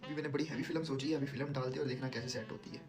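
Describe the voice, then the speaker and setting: A man's voice: medium pitch, fairly even intonation, very fast, over music. A young man doing prank call banter in a pleading, insistent, repetitive manner. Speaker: prank caller and show host.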